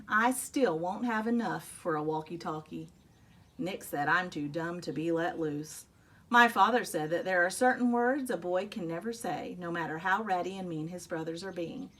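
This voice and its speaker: A woman reading a children's picture book aloud expressively, telling it as a young boy, with dramatic emphasis and drawn-out rueful phrases. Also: mid-range voice, fairly bright tone. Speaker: storybook reader. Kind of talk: expressive read-aloud of a children's story in a young boy's first person.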